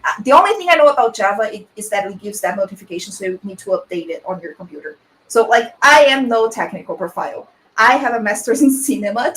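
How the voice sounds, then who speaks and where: A woman's voice: mid-range voice, fairly bright tone, low-quality recording. Young Brazilian-accented woman host, chatty video call, faint hiss. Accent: Brazilian Portuguese. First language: Portuguese. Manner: chatty, laughs often, fills with "like". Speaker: webinar host. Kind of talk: casual webinar chat.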